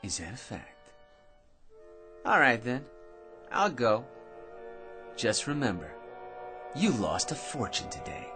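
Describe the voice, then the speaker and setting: A boastful, excitable schemer from an old-time radio play. He wheedles in slangy patter with big swings of pitch. Music runs underneath. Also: slow, long pauses. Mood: pushy. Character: cocky, excitable working-class dreamer man with grand schemes.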